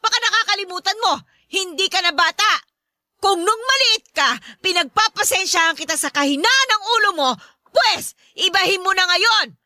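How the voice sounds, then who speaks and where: A very high-pitched, harsh mother in a radio drama. Her voice is extremely sharp and forceful, scolding in exclamations and threats, recorded dry and close. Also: expressive, normal speed, dry recording, full character voice. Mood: furious scolding. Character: harsh, scolding, short-tempered Filipino mother of a student. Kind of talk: Tagalog radio drama acting.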